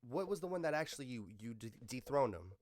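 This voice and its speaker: A man's voice: medium pitch, very animated, fast talker, darkish tone, no echo, studio quality. A man hosting a podcast, asking questions in an informal, slangy way. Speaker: podcast host. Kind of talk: casual interview chat. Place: close-miked podcast recording.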